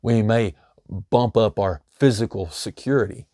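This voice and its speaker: A middle-aged man, slightly hoarse, giving a loose, conversational how-to talk full of "you know" fillers. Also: lowish voice, unhurried, dark tone, dry recording, clean studio sound. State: slightly hoarse, losing his voice. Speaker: homesteading channel host. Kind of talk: casual instructional talk.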